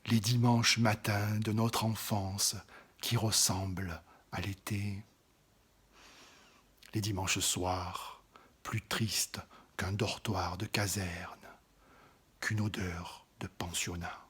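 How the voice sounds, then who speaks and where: A man recites poetry in a deep, heavily breathy voice. He takes his lines at a very slow, deliberate pace, sinking into hushed whispers and then rising on certain phrases. The delivery is theatrical and intimate. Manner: drops often into an intimate whisper; expressive, swells louder on some phrases.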